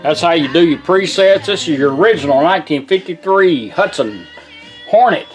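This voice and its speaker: Man, fairly high voice, folksy, casual step-by-step product demo, music behind him. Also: animated, normal speed. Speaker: product demonstrator. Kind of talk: hands-on product demonstration, casual. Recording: music playing from radio behind voice.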